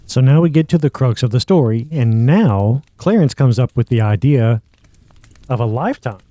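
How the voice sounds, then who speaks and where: A man's voice: medium pitch, animated, moderate pace, mellow, no room sound. A man hosting a podcast, giving linking commentary between story excerpts. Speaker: podcast host. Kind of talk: commentary linking radio drama clips.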